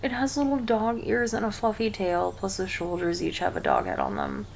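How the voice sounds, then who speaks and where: Woman voicing an affectionate succubus girlfriend, faintly creaky. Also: medium pitch, very animated, moderate pace, a little hiss or hum. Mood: excited, playful. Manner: doting, teasing, speaking intimately to a partner. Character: cheerful, affectionate succubus girlfriend, playful and teasing. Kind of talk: ASMR roleplay, scripted monologue to the listener.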